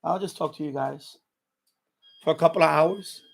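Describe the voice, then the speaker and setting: A man's voice: a little high, moderate pace, creaky. A middle-aged man from Puerto Rico chatting casually on a livestream, rambling and often repeating himself. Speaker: live streamer, radio hobbyist.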